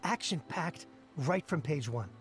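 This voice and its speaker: A frank young-hearted book fan in a video game. His voice sits on the high side, candid and opinionated, eager for cheap thrills. Music plays clearly behind him. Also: animated, a little slow. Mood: frank, keen. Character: frank male light-novel fan hungry for cheap thrills.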